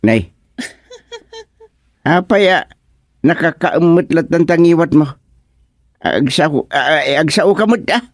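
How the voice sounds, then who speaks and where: A man with an Ilocano accent playing an excitable comic village man in a radio drama, exclaiming in drawn-out, emphatic phrases. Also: a little high, dry recording, clean studio sound. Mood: grumbling.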